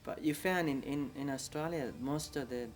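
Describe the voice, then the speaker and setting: A man talking in a casual interview, animated and halting. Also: on the high side. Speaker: community member.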